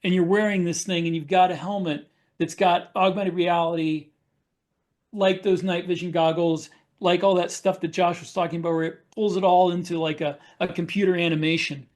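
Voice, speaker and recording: A man with a noticeably high-pitched voice chats as a podcast panelist. His delivery is halting and rambling, as in a loose group discussion. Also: steady tone, darkish tone.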